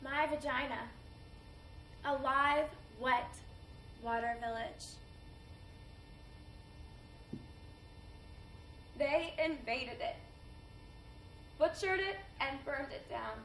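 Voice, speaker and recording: A woman's voice: sits high, animated, a little slow, long pauses, a bit bright, full character voice. A woman performing a theatrical monologue as a wistful, tender war survivor remembering her body in lyrical phrases. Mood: grieving. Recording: echoey hall, distant mic, faint noise.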